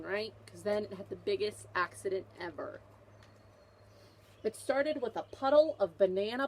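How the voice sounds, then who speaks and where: A woman's voice: mid-range voice, a little hiss or hum. A woman teacher reading a picture book aloud to children, with an instructive manner, repeating questions to prompt her young listeners.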